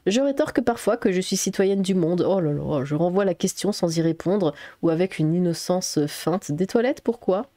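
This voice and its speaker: Mellow, sarcastic French woman commentator. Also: fairly low pitch, animated, moderate pace, hardly any pauses, no room sound, clean studio sound. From Alsace, France. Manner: sarcastic, exasperated asides. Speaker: online commentator. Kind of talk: reading an article aloud with casual reaction commentary.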